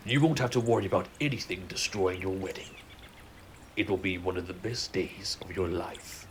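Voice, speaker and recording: An actor playing a benevolent, commanding king in a romantic audio drama, speaking in a formal, measured, courtly way. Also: sits low, a little hiss or hum, close to an everyday voice. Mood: stern, reassuring.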